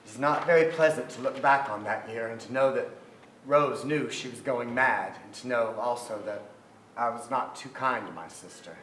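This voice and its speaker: Actor as reflective playwright in echoey theatre. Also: male voice, medium pitch, moderate pace, fairly bright tone, close to an everyday voice. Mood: regretful. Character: reflective Southern playwright remembering his beloved troubled sister. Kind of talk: dramatic staged reading of memoir. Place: theatre stage before an audience. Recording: echoey theatre stage, noticeable noise.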